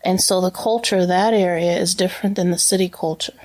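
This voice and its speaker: A woman's voice: lowish voice, steady tone, quick pace, darkish tone. Chatty woman reflecting in an interview. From Brazil, raised as a missionary kid. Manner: chatty, many fillers and restarts. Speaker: interview guest. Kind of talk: casual reflective conversation.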